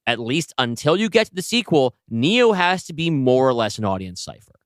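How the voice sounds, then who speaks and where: A man's voice: medium pitch, very animated, moderate pace, dry recording, clean studio sound. A man co-hosting a podcast, discussing ideas in a casual, analytical, conversational way. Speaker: podcast co-host and writer. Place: indoors, two people seated across from each other.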